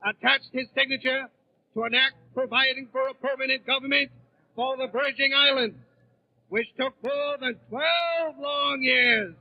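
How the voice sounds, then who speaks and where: A man's voice: extremely high pitch, lo-fi sound. A man delivering a formal, emphatic 1930s political convention speech as a delegate, on an old radio broadcast recording. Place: large convention hall, at the podium.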